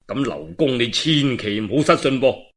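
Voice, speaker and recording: A man's voice: medium pitch, animated, normal speed, fairly bright tone, pitched below the performer's own voice, close to an everyday voice. A man with a Cantonese accent voicing a grave, earnest lord who urges others to duty in formal speech, in a storybook tale. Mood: urgent, grave.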